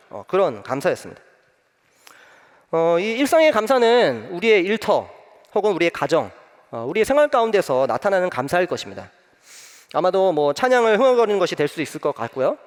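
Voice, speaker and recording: A young man preaching a sermon as pastor, telling a personal story with many pauses and filler sounds. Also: sits high, long reverb tail. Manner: gentle, frequent "eo" fillers, warm storytelling.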